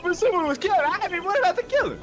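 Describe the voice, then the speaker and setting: An agitated airline passenger from a video game, acted by a woman in a fan dub. The voice is high and very animated, pushy and suspicious. Soft music sits behind it. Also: racing. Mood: suspicious, challenging. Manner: pushy, challenging.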